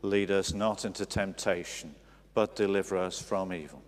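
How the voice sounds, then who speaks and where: A man leading a church service, reading the Lord's Prayer and giving out the next hymn in a slow, deliberate way. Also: low voice, steady tone. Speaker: service leader. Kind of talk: leading liturgy. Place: large church, indoors. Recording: long church reverb.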